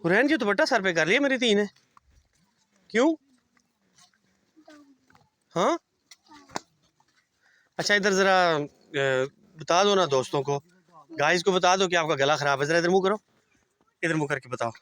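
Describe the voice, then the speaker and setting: A man's voice: sits high, lively intonation, unhurried, long pauses, fairly bright tone. A man with a Punjabi accent talking casually outdoors on a village trail, cheerfully directing his children on a handheld camera. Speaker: father and vlogger. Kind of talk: casual on-location talk.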